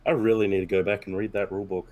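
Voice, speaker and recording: Player, male, very low voice, under the weather, halting and self-deprecating. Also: level tone, phone-quality sound. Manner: hesitant, apologetic, many fillers. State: sick, losing his voice. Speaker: tabletop game player. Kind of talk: post-game discussion.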